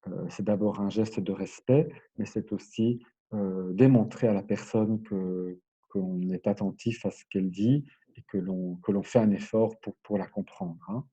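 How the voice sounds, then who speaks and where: A man giving an explanatory language lesson as a teacher, in a measured, hesitant way, searching for his words. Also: on the low side, steady tone, mellow, breathy edge, muffled recording. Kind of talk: explanatory lesson, semi-improvised.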